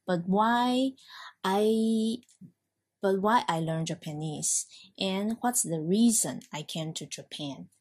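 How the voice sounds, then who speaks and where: Halting, husky-voiced Taiwanese-accented woman. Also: deep voice. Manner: halting, searching for words, self-correcting. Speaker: language learner sharing her experience. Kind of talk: personal monologue, prepared talk delivered informally.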